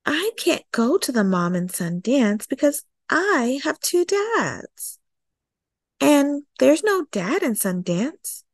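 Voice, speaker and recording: A woman voicing a sensitive young schoolboy in a children's storybook, soft and hesitant. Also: high voice, big pitch swings, slow pace, no room sound, clean studio sound, voice pushed up, exaggerated voice. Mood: downcast.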